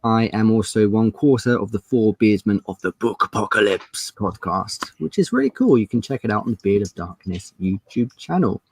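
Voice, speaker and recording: A man's voice: on the low side, expressive, moderate pace, hardly any pauses, husky. A man hosting an online panel as game master, introducing it in a casual style.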